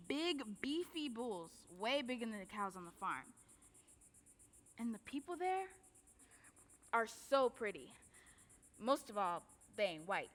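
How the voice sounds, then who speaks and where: Woman acting a bright-voiced, defiant young daughter, echoing hall. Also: a little high. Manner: plaintive, dramatic storytelling and arguing. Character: defiant, anxious young enslaved daughter, dreamer. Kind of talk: stage play acting. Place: indoor theatre stage. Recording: echoing theatre hall, filmed live performance.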